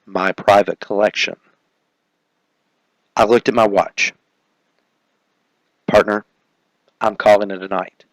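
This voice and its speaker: A man playing a world-weary, deadpan police detective narrating a hardboiled crime parody, with music behind. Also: on the low side, takes it slowly, long pauses, dry recording, voice pushed down, poor audio. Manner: deadpan, clipped.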